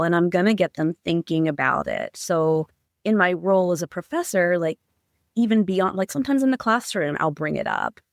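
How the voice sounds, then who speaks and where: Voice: mid-range voice, gravelly, no room sound, clean studio sound. A middle-aged psychotherapist and professor answering interview questions earnestly, often restarting words and adding "like" and "right?". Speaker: psychotherapist and professor, podcast guest.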